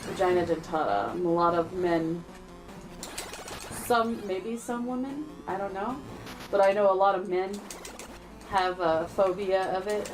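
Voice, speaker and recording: A woman's voice: mid-range voice, measured pace, long pauses, music in the background, poor audio. A woman chatting casually and teasingly while watching a retro game being played. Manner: playful, teasing, giggly. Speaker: co-host watching a playthrough. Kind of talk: casual gaming chat.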